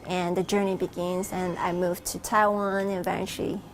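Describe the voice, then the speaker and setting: Woman, Malaysian-born Buddhist temple resident; unhurried, reflective interview answers with small pauses. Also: on the low side, flat delivery, slight background noise, rough recording. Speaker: Buddhist practitioner living in a temple.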